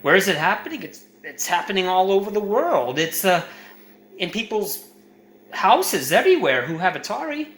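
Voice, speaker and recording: A man with a high voice who gives a comedic retro game review in an excitable, emphatic, jokey way. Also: moderate pace.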